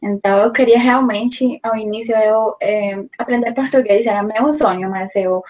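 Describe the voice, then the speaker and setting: A woman's voice: fairly high pitch, fairly even intonation, normal speed, darkish tone, lo-fi sound. A young woman from Colombia, a native Spanish speaker with a Colombian accent, telling her story in a casual interview with many restarts. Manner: hesitant, many restarts and fillers, laughs easily. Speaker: guest, Portuguese learner. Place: small room at home.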